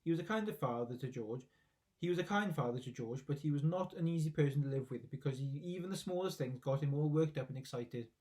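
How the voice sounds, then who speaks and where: A man's voice: a little high, fast, darkish tone. A man narrating a children's story expressively, as a reader reading aloud from a book.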